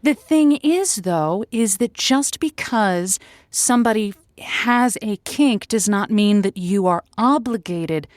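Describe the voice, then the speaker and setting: A woman's voice: mid-range voice, lively intonation, takes it slowly, no room sound, clean studio sound. A woman playing a chatty, affectionate advice show host who talks in a flirty, familiar way and uses pet names. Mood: sympathetic, advising. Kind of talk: improvised comedic call-in chat.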